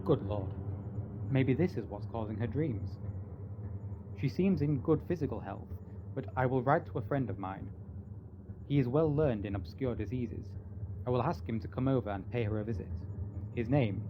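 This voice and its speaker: A grave, formal Victorian doctor, acted by a man for radio drama. His middle-pitched voice is measured and clinical. The recording is slightly dull, with faint noise. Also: natural voice. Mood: concerned. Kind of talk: radio drama acting and narration.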